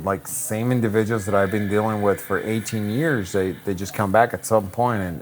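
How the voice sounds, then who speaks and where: A man, a real estate broker answering interview questions in a relaxed, reflective way with many restarts. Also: on the low side, slight background noise. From Puerto Rico. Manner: easygoing, reflective, frequent restarts and "um".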